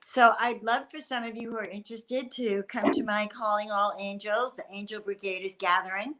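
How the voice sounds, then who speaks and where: A woman's voice: medium pitch, fairly even intonation, normal speed, bright, forceful tone, rough recording. A woman chatting casually about spiritual matters as a talk show guest.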